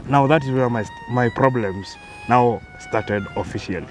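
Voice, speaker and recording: A man's voice: mid-range voice, normal speed, darkish tone, faint music behind. A man in his thirties with a Kenyan accent, a guest telling his life story, who hesitates and repeats words as he starts. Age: 30s. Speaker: interview guest.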